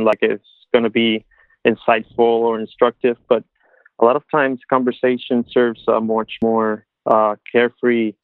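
A man talking casually as a podcast guest, halting as he searches for words, over a muffled phone-like line. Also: medium pitch, no room sound. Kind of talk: casual interview discussion.

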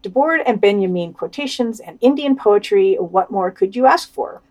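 A woman with a medium-pitched voice reads article summaries and long quotations as a podcast presenter. Her delivery is scripted, with wry commentary mixed in. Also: animated, moderate pace, darkish tone. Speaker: podcast news reader. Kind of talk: reading written summaries and quotes aloud with commentary.

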